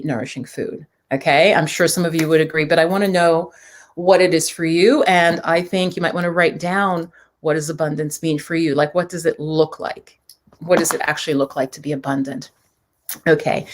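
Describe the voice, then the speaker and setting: A woman from New York hosting a casual live stream, reading viewers' comments aloud and chatting loosely with fillers and asides. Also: lowish voice. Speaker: livestream host.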